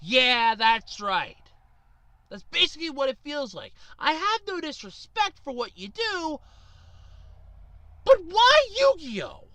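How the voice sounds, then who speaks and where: A woman rants at her viewers in a bright, forceful voice that swings widely up and down. She is sarcastic and exasperated, leaning hard on her words. The sound is dry, with no room around it. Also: sits high, big pitch swings, slow pace, dry recording. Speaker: video ranter. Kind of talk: opinionated rant to viewers.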